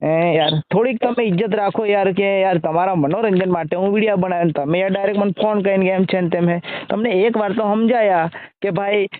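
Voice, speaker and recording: A man's voice: high-pitched, a little fast, a little hiss or hum. A man arguing casually over the phone in an exasperated, scolding way. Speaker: social media video creator taking a call. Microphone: phone call. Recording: tinny narrow-band phone line.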